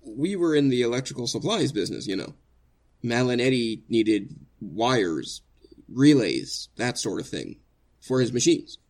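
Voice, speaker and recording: An actor playing an anguished innocent man facing execution in a 1940s-style radio drama, earnest and halting, stammering with emotion. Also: medium pitch, lively intonation, darkish tone. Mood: earnest, uneasy.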